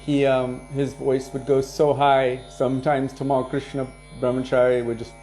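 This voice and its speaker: A man leading a devotional program, casually answering viewer questions and sharing anecdotes in a relaxed way, chuckling now and then. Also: medium pitch, normal speed, faint music behind. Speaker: kirtan leader and devotional teacher.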